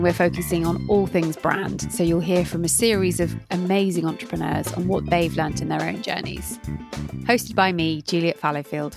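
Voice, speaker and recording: Female podcast host, low and gravelly, eager and chatty. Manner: bubbly, enthusiastic interjections. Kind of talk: scripted intro then casual interview.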